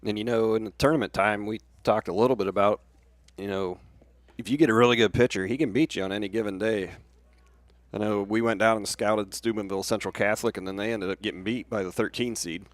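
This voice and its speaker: An American man with a slightly low voice talks very quickly as a baseball color commentator. His analysis is conversational, dropped in between plays of a live game. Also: fairly even intonation, no echo.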